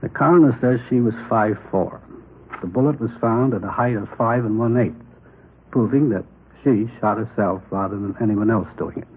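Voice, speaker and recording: An actor playing a matter-of-fact crime lab technician in a 1950s radio police drama, speaking methodically and clinically. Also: male voice, lowish voice, moderate pace, natural voice. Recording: noisy, tinny vintage radio recording.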